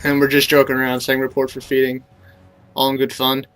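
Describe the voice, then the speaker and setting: A man's voice: medium pitch, completely flat delivery, a little fast, a bit bright. A young man with a stuffy nose and sore throat from a cold, casually commentating his own game and coaching viewers with plenty of fillers. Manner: explanatory, coaching tone with many fillers. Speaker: gameplay commentator.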